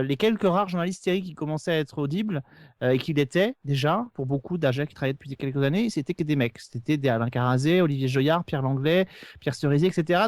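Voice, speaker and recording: Male podcast co-host as interviewee: talkative, explanatory, rapid delivery, voice on the high side. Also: darkish tone, no echo, clean studio sound. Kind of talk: casual conversational interview answers.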